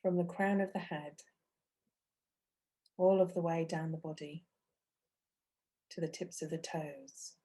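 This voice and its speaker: Mellow, low-voiced woman guiding meditation. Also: steady tone. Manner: gentle, unhurried, with long pauses between phrases. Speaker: meditation teacher. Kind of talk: guided meditation.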